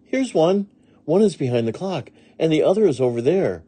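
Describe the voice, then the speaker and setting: A cheerful housekeeper woman voiced by a man from a storybook, earnest and folksy. The voice is lifted high and husky, with a sing-song, widely moving pitch. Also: very animated, normal speed, mellow. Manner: folksy, earnest, homespun phrasing. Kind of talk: children's storybook character reading.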